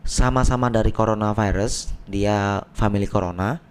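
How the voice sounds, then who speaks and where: Slightly hoarse doctor explaining. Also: male voice, on the low side, moderate pace, slight background noise. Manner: patient, uses simple everyday analogies. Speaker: doctor guest. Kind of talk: explanatory podcast talk. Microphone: podcast microphone.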